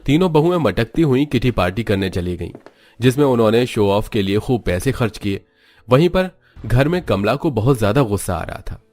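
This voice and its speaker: A man narrating a cartoon story in a steady, dramatic storytelling manner. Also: mid-range voice, normal speed, dry recording.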